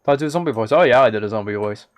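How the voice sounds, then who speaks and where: A man's voice: fairly low pitch, lively intonation, very fast, no room sound. Man musing aloud on a gaming livestream. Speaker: live streamer. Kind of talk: casual gaming livestream chat.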